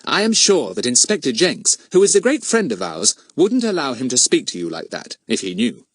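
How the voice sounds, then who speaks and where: A man's voice: medium pitch, wildly swooping pitch, normal speed, no room sound, voice pushed up. A man voicing a confident, earnest teenage boy detective in an audiobook, well-spoken, polite and persuasive with a cheeky streak. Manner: well-spoken, persuasive, eager schoolboy phrasing.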